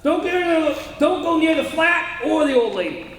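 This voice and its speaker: A scheming condemned gangster. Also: male voice, very high-pitched, lively intonation, moderate pace, a bit bright, slight background noise, full character voice. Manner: tough gangster slang, tense and pleading. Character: condemned male killer, bitter and scheming. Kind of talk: 1930s radio drama acting. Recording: echoey old radio recording from far off.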